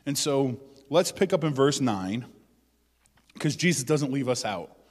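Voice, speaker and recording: A male pastor giving a conversational sermon, with joking asides and loud bursts of emphasis. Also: medium pitch, expressive, unhurried, in a large hall.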